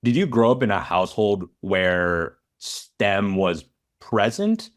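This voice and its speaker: A man's voice: on the low side, big pitch swings, slow. A man speaking as a podcast host and interviewer. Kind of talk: casual podcast interview with scripted intro.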